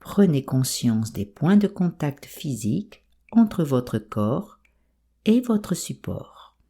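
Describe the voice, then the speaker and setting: A woman with a soft, breathy voice calmly guiding a yoga nidra meditation in an unhurried, soothing way. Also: sits low. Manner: calm, soothing, unhurried instruction. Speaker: meditation guide.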